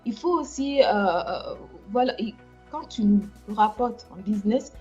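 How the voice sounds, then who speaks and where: Livestream host, woman, slightly high and mellow, halting delivery full of "euh", dull lo-fi audio. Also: animated, faint music behind. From France. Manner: hesitant, many fillers and restarts. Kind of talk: casual livestream chat.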